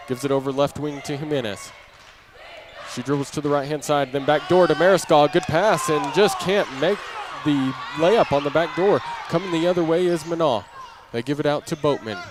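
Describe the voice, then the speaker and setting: A man doing live play-by-play basketball commentary in clipped, running phrases from a gym. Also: on the high side. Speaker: play-by-play announcer. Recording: noisy gym ambience, bouncing ball. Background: clear game noise and ball bounces.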